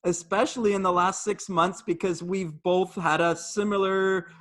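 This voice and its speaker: Earnest high-voiced middle-aged man. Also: fairly even intonation, normal speed, fairly bright tone. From Jamaica, raised in Canada. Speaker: fitness trainer and host. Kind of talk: casual conversational interview.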